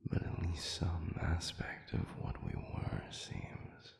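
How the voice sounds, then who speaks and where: Man voicing a whispering, gravelly low demon. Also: sing-song, echoey room, full character voice. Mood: puzzled, curious. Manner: formal, measured, deliberate. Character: formal, sadistic male demon with lost memory, tender and curious. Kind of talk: intimate audio roleplay monologue.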